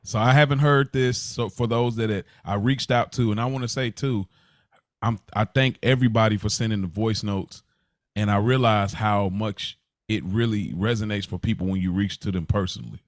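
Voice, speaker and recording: A man's voice: lowish voice, steady tone, normal speed, no room sound. A man co-hosting and producing a podcast, chatting informally in slang and thinking aloud as he goes. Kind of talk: casual conversational podcast talk.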